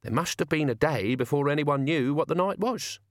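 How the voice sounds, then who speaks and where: Male narrator as old manservant, raised pitch, cheeky, blunt, colloquial, unrepentant, storybook character. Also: animated, moderate pace, no room sound, studio quality, natural voice. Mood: blunt, matter-of-fact. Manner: colloquial, blunt, dropped consonants.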